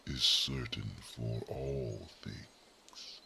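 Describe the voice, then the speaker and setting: A wise male mage who reads minds, as a man acts him out for an ASMR roleplay, whispers in a formal, unhurried way. His voice sits extremely deep and gravelly. It still has a bright, sharp edge, and every word comes at a slow crawl. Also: steady tone, a little hiss or hum, full character voice. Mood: tender.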